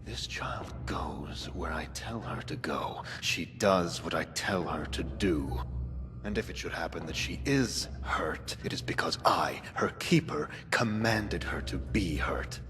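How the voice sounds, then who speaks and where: Game villain, male actor as pompous cold slaver, low-pitched, formal menace, reverberant with music behind. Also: animated, unhurried. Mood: menacing. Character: cold, domineering male keeper, a zealot slaver. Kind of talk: dramatic video game dialogue.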